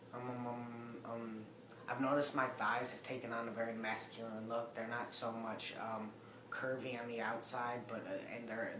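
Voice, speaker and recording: A young man with a raspy voice, rambling to a webcam in a casual update, with lots of ums. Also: fairly low pitch, roomy sound, noisy background. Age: young adult. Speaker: vlogger. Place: living room with a Christmas tree. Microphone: webcam at desk distance.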